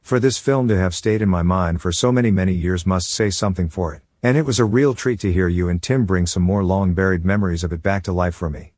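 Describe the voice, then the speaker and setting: A man's voice: low voice, fast, few pauses, darkish tone, dry recording, studio quality. A man reading a listener's email aloud on a podcast. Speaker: reader of listener feedback.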